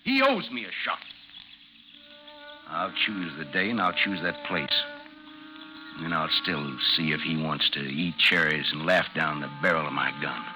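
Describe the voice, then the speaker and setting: A man's voice: rumbling low, sing-song, unhurried, pauses often, music in the background, exaggerated voice. A man acting in a 1950s radio drama as a cold, proud, obsessed ex-officer with a curt, commanding delivery. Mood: grimly resolved. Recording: noisy 1950s radio broadcast recording.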